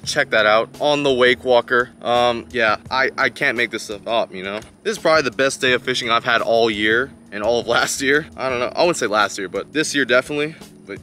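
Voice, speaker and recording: A young adult man chatting casually to viewers in a slangy, excitable outdoor vlog style. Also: mid-range voice. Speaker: fishing vlogger. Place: outdoors beside a pond. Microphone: chest-mounted action camera or camera close in front. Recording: outdoor ambient noise on action camera.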